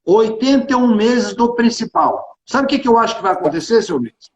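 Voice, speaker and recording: A man's voice: high voice, expressive, normal speed. A man with a Carioca accent from Rio de Janeiro, speaking emphatically and informally on a business livestream, often repeating phrases. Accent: Carioca Brazilian Portuguese. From Rio de Janeiro, Brazil. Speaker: tax consultant and executive speaking on a livestream. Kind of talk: informal live business discussion. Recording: echoey room, dull band-limited livestream audio.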